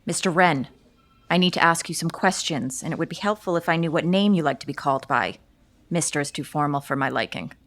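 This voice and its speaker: A woman playing a calm, tactful police detective, politely and patiently questioning a witness in an audio drama. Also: sits low, a little fast. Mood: calm, probing. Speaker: detective conducting a recorded interview. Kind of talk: audio drama interview acting.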